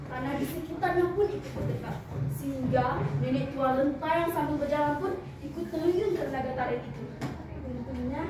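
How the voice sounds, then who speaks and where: A teenage girl from West Sumatra performing a folk tale, lively and dramatic, talking straight to her audience. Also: high-pitched. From West Sumatra, Indonesia. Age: teen. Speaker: student storyteller. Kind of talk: expressive storytelling performance. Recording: echoey hall, from far off, noisy.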